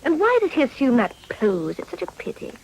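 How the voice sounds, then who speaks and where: A woman's voice: high voice, huge pitch swings, brisk, a little mellow, a little hiss or hum, heavily acted, poor audio. A woman playing a gracious, eager young upper-class lady in a period drama, polite and formal in manner. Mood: polite, warm. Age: young adult.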